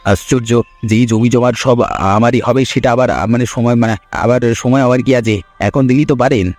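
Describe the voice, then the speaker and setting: A man's voice: mid-range voice, steady tone, a little fast, darkish tone, faint music behind, lightly acted. A man voicing a greedy, pushy younger village schemer in a cartoon fairy tale, insistent and needling. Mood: pushy, impatient.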